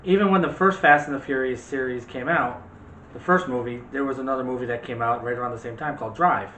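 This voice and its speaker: A man's voice: mid-range voice, fast talker, bright, forceful tone, distant mic. A man hosting a casual movie chat, talking directly to viewers in a chatty way. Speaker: video host. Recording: roomy, noisy, off-mic home recording.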